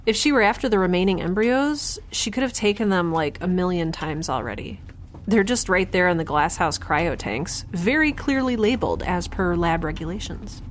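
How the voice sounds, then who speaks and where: A woman playing a chatty, nerdy, stubborn young scientist in an audio drama, rambling and halting over clear background noise. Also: on the low side, expressive, natural voice. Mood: rambling, puzzled. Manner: rambling, halting, talking to herself. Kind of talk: audio drama monologue and dialogue.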